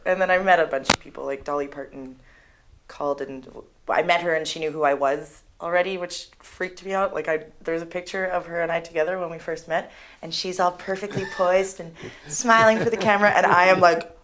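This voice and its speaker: Young woman, singer-songwriter, low voice; chatty, animated storyteller for interview. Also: a little fast. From Canada. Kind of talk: casual interview.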